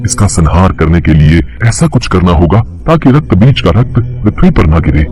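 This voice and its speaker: A man's voice: deep voice, big pitch swings, moderate pace, a little mellow. A man voicing a devout divine sage who counsels the gods formally in a mythological story. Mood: grave advice. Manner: formal, advisory. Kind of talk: Hindi mythological audio story acting.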